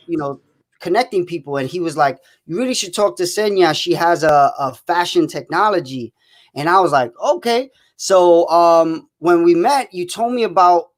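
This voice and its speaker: A man hosting a live online interview show, casual and halting with many fillers and restarts. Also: high-pitched. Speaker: host.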